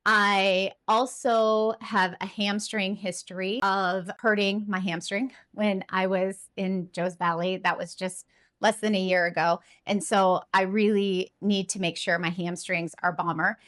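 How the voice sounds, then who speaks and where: A woman in her fifties talks straight to camera at an everyday middle pitch. Her manner is earnest and encouraging, and she pokes gentle fun at herself now and then. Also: flat delivery, unhurried. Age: 50s. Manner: earnest, encouraging, self-deprecating. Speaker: climbing vlogger. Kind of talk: casual talk to camera.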